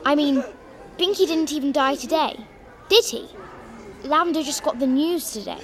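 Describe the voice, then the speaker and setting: A woman's voice: high-pitched, normal speed, voice pushed up, lightly acted. A woman voicing an earnest, logical teenage schoolgirl with a prim manner. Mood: reasoning. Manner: earnest, reasoning, a bit prim.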